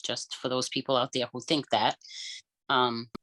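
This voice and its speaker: A woman whose first language is Cape Verdean Creole, sharing personal experiences in a relaxed podcast chat and pausing often to think. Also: very deep voice, no room sound, rough recording. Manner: thoughtful, pauses often with "um". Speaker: podcast co-host. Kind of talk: casual personal conversation.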